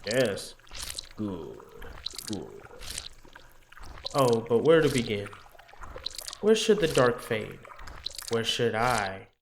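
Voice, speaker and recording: A man's voice: medium pitch, very animated, extremely slow, vocal fry, long pauses, background noise, exaggerated voice. A man voicing an anguished, macabre young woman with amnesia in a visual novel, theatrical and brooding, easily bewildered. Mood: eager, confiding. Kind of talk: dramatic first-person visual novel narration.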